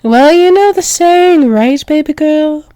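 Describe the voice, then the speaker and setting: A woman's voice: sits high, expressive, takes it slowly, mellow, no room sound, studio quality. A woman voicing a doting, dominant dragon-wolf demoness lover in an intimate ASMR roleplay, teasing with pet names and dropping into whispers. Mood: possessive, adoring.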